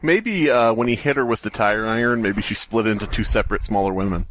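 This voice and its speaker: A man's voice: on the low side, a little fast, a bit bright. A man co-hosting a podcast, chatting casually and reading a story aloud in a joking, sarcastic, sweary way. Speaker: podcast co-host. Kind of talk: casual comedic chat and mocking story read-aloud.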